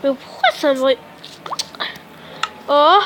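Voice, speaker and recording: High-voiced youtuber woman with a cold and sore throat, rambly tutorial, keeps correcting herself, bit of faint noise. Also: drawn-out words. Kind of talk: casual how-to tutorial.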